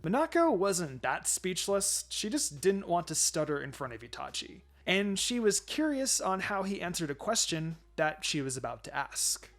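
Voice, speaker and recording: A man's voice: high-pitched, big pitch swings, normal speed, few pauses, a bit bright. A man reading fan fiction aloud as a dramatic story narration.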